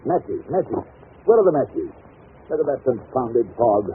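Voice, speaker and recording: Tinny old radio, irritable detective actor. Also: male voice, medium pitch, expressive, normal speed, a little hiss or hum, close to an everyday voice. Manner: grumbling, impatient. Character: Sherlock Holmes, irritable, brusque English detective. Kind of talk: 1940s radio acting.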